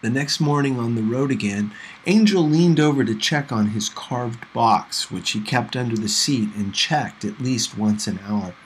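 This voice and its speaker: A man reading a novel aloud in an expressive storytelling style. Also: medium pitch, unhurried, slight background noise, rough recording. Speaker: audiobook reader.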